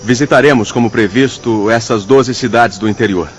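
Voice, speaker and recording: An actor playing a calm, decisive spirit leader in a radio drama, speaking in a measured, formal way. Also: male voice, mid-range voice, faint music behind, close to an everyday voice. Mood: calm, purposeful.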